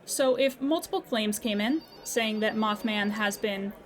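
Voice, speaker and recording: A woman playing a patient, courteous insurance customer service agent in a scripted audio comedy, speaking formally and with measured politeness. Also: medium pitch, lightly acted. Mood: patient, apologetic. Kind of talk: scripted comedy audio drama phone call.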